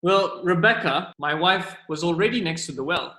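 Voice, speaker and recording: A man's voice: sits high, moderate pace, pushed and bright. An actor playing an indignant orchard owner telling the court what he saw. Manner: indignant, vivid storytelling. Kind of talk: amateur radio drama. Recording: echoey room.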